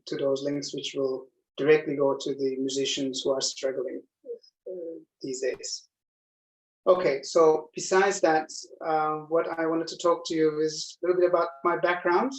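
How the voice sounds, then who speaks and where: A man in his forties with a Turkish accent, hosting a casual live chat as a cellist, speaking in a friendly, halting way with many ums. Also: fairly high pitch, distant mic. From Turkey. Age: 40s. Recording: echoey room, voice off-mic.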